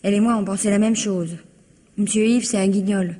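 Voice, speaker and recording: An actress playing a cheeky, chatty twenty-two-year-old housemaid, defensive and plain-spoken, in an old French radio mystery. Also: female voice, medium pitch, fairly even intonation, fairly quick, mellow, lightly acted. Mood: frank, gossipy. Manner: colloquial, chatty, naive frankness.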